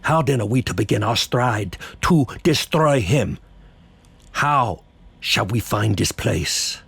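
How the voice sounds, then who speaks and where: An actor playing a grave older professor who hunts vampires, speaking in solemn, deliberate oratory in a horror audio drama. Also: male voice, mid-range voice, animated, creaky, fairly bright tone, breathy edge, no echo, exaggerated voice. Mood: grave, urgent. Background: music.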